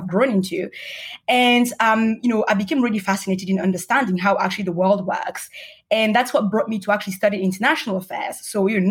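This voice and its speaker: Female podcast guest, mid-pitched with a husky edge, earnest and explanatory, conversational storytelling. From Cameroon and Madagascar, partly raised in Paris. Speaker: podcast guest, startup investor. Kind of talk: conversational interview answers, personal narrative.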